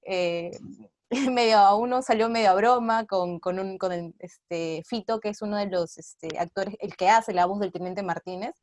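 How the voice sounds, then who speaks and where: A woman's voice: medium pitch, moderate pace, a little mellow, no room sound. A woman, an actress speaking casually and reflectively in an interview.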